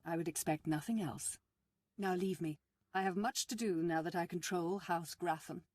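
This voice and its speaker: An actress playing a scheming, seductive, imperious middle-aged noblewoman in a sci-fi game, with a silky, husky, commanding delivery. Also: fairly low pitch, moderate pace, darkish tone, a little hiss or hum, natural voice. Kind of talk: video game voice acting.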